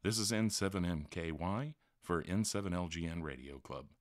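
A man's voice: low voice, moderate pace, no room sound, studio quality. A man hosting a tutorial, narrating step-by-step instructions in a voice-over. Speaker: tutorial host. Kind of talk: how-to screen-recording narration.

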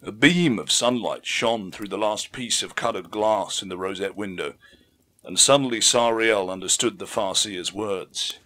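Low-voiced man narrating dramatic fiction. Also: a little slow, sharp tone. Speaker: audiobook narrator.

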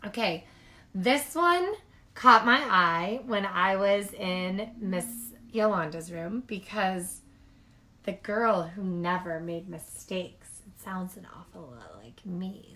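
A woman reading picture books aloud to young children as a storytime host, with animated delivery and chatty asides. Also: medium pitch.

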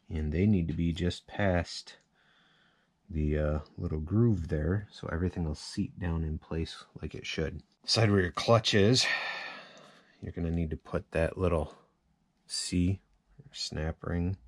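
A man's voice: deep voice, slow, long pauses, a little mellow, dry recording. A man giving a casual hands-on repair tutorial, thinking aloud informally as he works at a workbench. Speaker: chainsaw repair demonstrator. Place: cluttered indoor workshop at a workbench.

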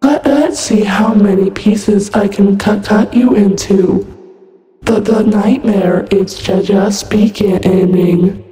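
A woman voicing a menacing, broken animatronic fox for fan-made game lines, stuttering and glitchy, halting and sinisterly sweet. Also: mid-range voice, dark tone, lightly acted. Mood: playfully menacing. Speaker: amateur voice actor. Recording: echoey long reverb over music.

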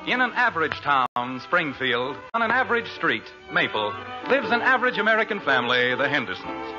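A man's voice: fairly high pitch, sing-song, brisk. Old radio announcer guy, wry and formal, voice swings around a lot, muffled with music behind. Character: wry, mock-solemn male narrator of a family comedy. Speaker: announcer, narrator. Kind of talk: 1940s radio announcing, scripted narration.

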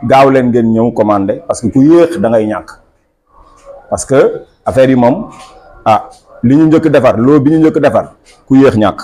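A man with a Senegalese accent, the club's marketing officer, presenting team merchandise to camera in a steady selling tone. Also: mid-range voice, normal speed. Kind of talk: promotional product presentation. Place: small office indoors.

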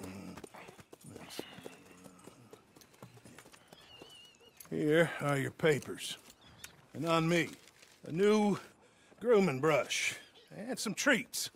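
Folksy horse dealer, highish voice. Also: male voice, gravelly, noisy background, exaggerated voice. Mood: obliging. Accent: American. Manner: folksy salesman patter. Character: brisk, friendly frontier horse dealer man. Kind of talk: video game cutscene acting.

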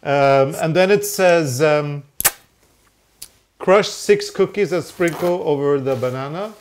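A teasing man in his sixties with a somewhat high voice, reading recipe steps aloud. He is recorded in a home kitchen by a camera a few steps away, with faint clicks behind him. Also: takes it slowly, a little hiss or hum. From Norway. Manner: teasing, reads instructions aloud, laughs. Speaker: co-host. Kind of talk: casual baking chat. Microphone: unseen mic, medium-distance camera.

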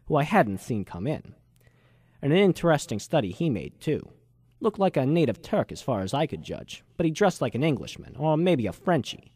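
Man playing a wry hardboiled noir detective type, very animated. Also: mid-range voice, husky, dry recording, studio quality. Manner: wry, world-weary, slangy. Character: cynical wisecracking male ex-journalist, hardboiled noir narrator. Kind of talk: hardboiled first-person noir radio drama narration.

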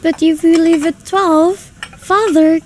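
Casting: actress as polite young society daughter for radio play, high, slow, tentative. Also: female voice, steady tone, slight background noise. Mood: timid, sweet. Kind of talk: radio drama acting.

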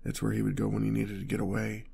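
A male actor performs a protective boyfriend on the run in an intimate audio roleplay. His voice is husky and creaky at a middling pitch, with little melodic movement. He stammers under pressure, urgent yet tender toward his partner. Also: monotone, quick pace, dark tone, exaggerated voice. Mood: calming, weary.

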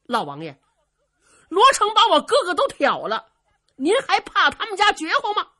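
A woman voicing a fierce, hot-tempered male general in a storytelling tale, loud and challenging. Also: medium pitch, huge pitch swings, measured pace, slightly rough, heavily acted. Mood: indignant.

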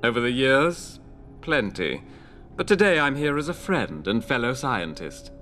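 Older male scientist-inventor: measured, wry, world-weary game cutscene delivery over soft music. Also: mid-range voice, big pitch swings. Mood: urbane, wry. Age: 60s. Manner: measured, rhetorical, gently ironic. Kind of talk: video game cutscene acting.

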